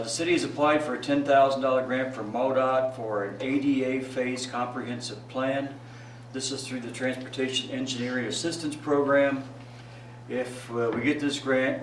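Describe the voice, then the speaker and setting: A man reading a city staff report at a council meeting in a steady, businesslike way. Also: medium pitch, slight background noise. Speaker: city administrator giving a report. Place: council chamber. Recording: echoey room, distant mic.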